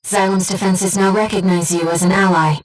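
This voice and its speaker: A woman playing an earnest, devoted young female spirit guide in a video game, speaking with composed, gentle precision as a mission operator. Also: mid-range voice, little pitch movement, fairly quick, far from the mic, lightly acted, poor audio. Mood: composed, informative. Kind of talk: scripted video game voice acting.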